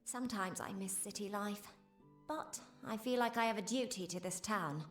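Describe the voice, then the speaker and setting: A woman's voice: medium pitch, moderate pace, natural voice. A woman voicing a dutiful, earnest mage scholar with formal phrasing in a fantasy video game. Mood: earnest, reflective. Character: dutiful female mage scholar stationed in a village. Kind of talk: scripted game dialogue. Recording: roomy echo, background music.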